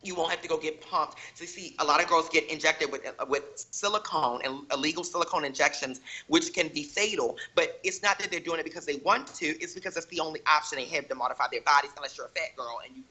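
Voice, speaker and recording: Husky, bright-voiced woman explaining emphatically. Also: low voice, fast talker, few pauses. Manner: emphatic, keeps checking in with "right?". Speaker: panel guest. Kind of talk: casual panel discussion.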